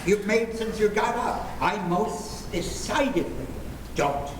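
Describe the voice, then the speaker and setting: A man's voice: high-pitched, animated, slow. An actor playing an exasperated, sarcastic father in an old-time radio comedy. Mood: exasperated, stern. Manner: theatrical, dryly sarcastic. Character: exasperated 1950s sitcom father, sarcastic and blustering. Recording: noisy, echoey live stage recording.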